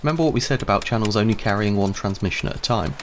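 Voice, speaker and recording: A man's voice: on the low side, rapid-fire, a little hiss or hum. A man giving a scripted instructional narration, explaining step by step in a conversational way.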